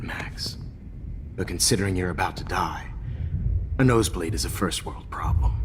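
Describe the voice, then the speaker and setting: An actor in a video game playing a smug, menacing photography teacher who talks down to his captive, often dropping into a hushed whisper. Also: deep voice. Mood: mocking, calm. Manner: condescending, drawling, slipping into hushed whispers. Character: smug, menacing male photography teacher and kidnapper, coldly controlling. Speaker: villain. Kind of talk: cinematic video game acting. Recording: hall-like reverb, clear background noise.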